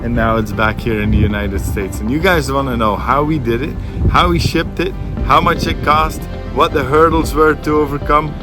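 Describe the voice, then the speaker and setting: A man's voice: mid-range voice, steady tone, moderate pace. Belgian-born male travel vlogger, forties, casual and upbeat, filmed at arm's length over music. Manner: chatty, upbeat, many restarts and fillers. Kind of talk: casual vlog to camera. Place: home kitchen and inside a camper van. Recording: music clearly under voice. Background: background music.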